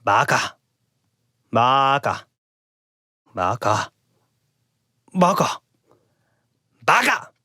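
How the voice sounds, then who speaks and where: A man's voice: mid-range voice, big pitch swings, extremely slow, long pauses, bright, forceful tone, no room sound, clean studio sound, voice pushed down, heavily acted. A man voicing a flustered young otaku character from a game, exclaiming short insults in shifting tones. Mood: shifting, tsundere.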